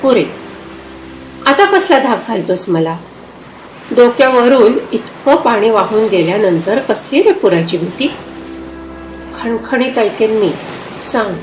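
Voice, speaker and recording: A woman's voice: fairly high pitch, expressive, slow pace, pauses often, slight background noise. A woman playing Radha as a proud, yearning woman in love, speaking intimately and emotionally in a dramatized literary dialogue. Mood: proud defiance. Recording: roomy echo, loud sea-wave ambience.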